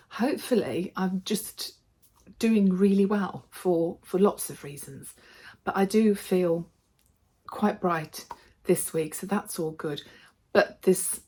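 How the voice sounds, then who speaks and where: A woman vlogger with a slightly hoarse voice from a cold, chatting casually and cheerfully to her viewers. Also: fairly low pitch, mellow. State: slightly hoarse, losing her voice from a throat infection. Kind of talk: casual vlog chat to viewers.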